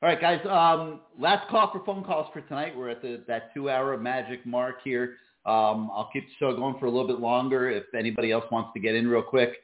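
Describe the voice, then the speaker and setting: A man hosting a sports call-in show, talking casually with many fillers, his voice going hoarse. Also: medium pitch, fairly quick, few pauses, echoey room, narrow, tinny audio. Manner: relaxed, many fillers and restarts. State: losing his voice. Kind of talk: casual call-in radio talk.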